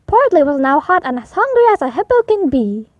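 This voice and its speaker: A woman reading a children's picture book aloud as an expressive storyteller. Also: sits high, a little fast, dry recording, dull audio.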